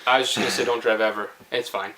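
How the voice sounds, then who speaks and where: A young man hosting a vlog, telling a story casually in a jokey, animated, teasing way. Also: on the low side, fast talker, low-quality recording. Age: young adult.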